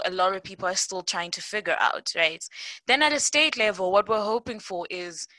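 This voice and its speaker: A woman's voice: medium pitch, pushed and bright, no echo, poor audio. A woman speaking on a discussion panel, thoughtful and given to rephrasing her points as she goes. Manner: thoughtful, rephrases mid-sentence.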